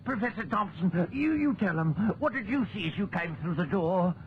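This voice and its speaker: High-voiced, frightened, pleading timid fellow. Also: male voice, lively intonation, normal speed. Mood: panicked. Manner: pleading, stammering, nervous. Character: timid, frightened man pleading with an obsessed acquaintance. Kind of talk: 1940s radio horror acting. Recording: noisy muffled 1940s radio recording.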